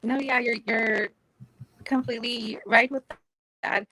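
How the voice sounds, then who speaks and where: A woman's voice: on the high side, steady tone, measured pace, sharp tone. A woman from South Texas speaking earnestly on a video-call panel, reflecting on leaving home and returning. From Rio Grande Valley, South Texas. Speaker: panelist poet. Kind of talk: casual panel discussion. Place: home room.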